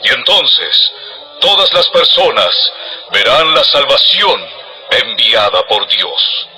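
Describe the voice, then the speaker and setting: A man's voice: medium pitch, big pitch swings, slow, pushed and bright, faint music behind, heavily acted, low-quality recording. A man playing a solemn herald who proclaims prophecy grandly in a dramatized audio Bible. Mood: solemn, exalted.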